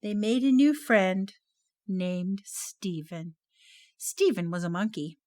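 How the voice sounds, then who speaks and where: A woman narrating a children's puppet story in an animated, sing-song manner. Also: medium pitch, takes it slowly.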